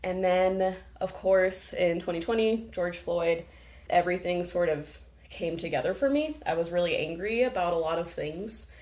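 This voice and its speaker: Earnest, lower-pitched young woman guest. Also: moderate pace, a little mellow. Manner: earnest, reflective, laughs often. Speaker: programs manager, guest. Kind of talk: interview conversation.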